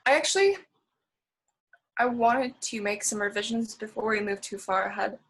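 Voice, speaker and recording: A hesitant, subdued young woman writer played by an actress in a stage drama. Her voice is mid-pitched and husky, with a tentative delivery. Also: moderate pace, fairly bright tone, poor audio. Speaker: apprentice stage actor. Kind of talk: stage play acting.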